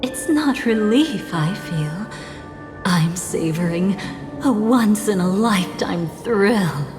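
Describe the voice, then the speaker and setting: A young woman voicing an enigmatic, teasing game character who is composed and calmly fond of danger. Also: mid-range voice, slow. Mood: measured, ominous. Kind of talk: video game cutscene voice acting. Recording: long echoing reverb, game music behind.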